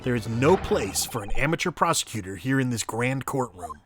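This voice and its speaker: A man voicing a bold, confident young defense attorney in a game fan dub, forceful and declamatory. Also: medium pitch, lively intonation, moderate pace, over music, close to an everyday voice. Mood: commanding. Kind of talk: video game fan voice acting.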